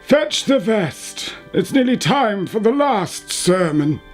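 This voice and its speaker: Man playing a slow, sinister bishop villain. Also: sits high, very animated, soft background music, full character voice. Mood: coolly menacing. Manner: theatrical, unhurried, condescending. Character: sinister, suavely menacing fanatical bishop villain. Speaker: actor in a radio play. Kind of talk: radio play acting.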